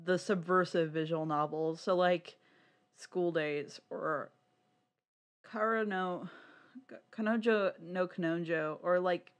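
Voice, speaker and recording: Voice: mid-range voice, steady tone, slow pace. A podcast co-host chatting casually and swearing freely about a hobby. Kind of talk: casual podcast chat about visual novels.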